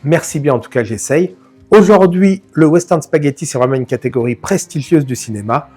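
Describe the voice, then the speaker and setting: A man's voice: fairly high pitch, animated, brisk, warm tone, husky, faint music behind. A man hosting a film channel, talking through a classic film in a casual, informal way, joking at his own expense. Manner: chatty, addresses viewer informally, self-mocking asides. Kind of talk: casual film analysis to camera.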